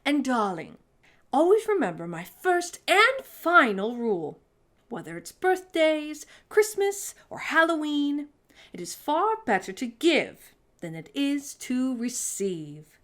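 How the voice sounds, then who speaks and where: A woman's voice: high voice, sing-song, slow, fairly bright tone, voice pushed up, full character voice. A woman voicing a grand, eccentric great-aunt in a storybook, giving emphatic instruction. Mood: wise, emphatic.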